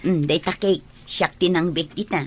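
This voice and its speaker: A woman acting a long-suffering, gentle wife and older sister in a radio drama. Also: on the low side, steady tone, normal speed, slight background noise, lightly acted. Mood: troubled, confiding.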